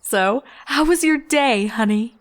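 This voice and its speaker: Woman acting out a high-pitched, excitable young unicorn mare. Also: slow pace. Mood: affectionate.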